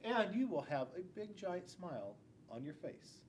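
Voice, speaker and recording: Middle aged guy doing a kids read-aloud, very up and down, punches key words, some rumbly background noise. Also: very animated. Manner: emphatic, drawn-out key words with asides to young listeners. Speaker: school resource police officer. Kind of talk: children's picture book read-aloud. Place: indoors in a school classroom.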